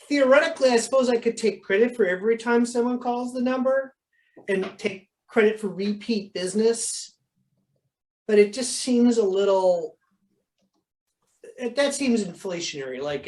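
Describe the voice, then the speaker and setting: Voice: medium pitch, moderate pace, a little mellow. An instructor explaining things informally in a question-and-answer coaching session over a video call, in a conversational way that often qualifies itself.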